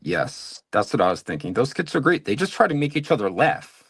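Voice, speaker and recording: A man's voice: medium pitch, sing-song, brisk, gravelly, fairly bright tone, breathy edge, no room sound, clean studio sound. A man from Long Island with a New York accent, chatting casually and rambling while painting miniatures on a stream. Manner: rambling, lots of fillers and self-corrections. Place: home studio at a hobby desk.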